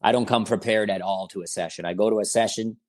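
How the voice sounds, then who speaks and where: Deep-voiced rapper guy in his 40s, chill and rambly, lots of "you know what i mean", dry sound. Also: fairly even intonation, quick pace, dry recording. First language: German or Italian. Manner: laid-back, rambling with fillers, says "bro" and "you know what I mean". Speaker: rapper guest. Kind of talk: casual interview chat.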